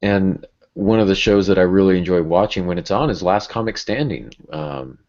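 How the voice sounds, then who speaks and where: A man with an extremely low voice hosts a casual writing podcast. He talks informally and gets animated to make a point. The recording is dull and lo-fi. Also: normal speed. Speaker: podcast host and writing teacher.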